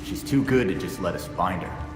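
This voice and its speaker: A man's voice: deep voice, animated, normal speed. A man playing a cynical, blunt, wisecracking vigilante in a video game cutscene. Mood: sardonic, wary. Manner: sardonic. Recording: very loud music behind.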